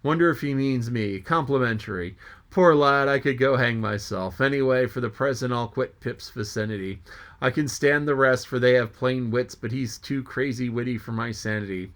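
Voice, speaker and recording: A man's voice: mid-range voice, moderate pace, fairly bright tone, close to an everyday voice. A man reading aloud as a jolly, joking sea mate who rambles and exclaims to himself. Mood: musing.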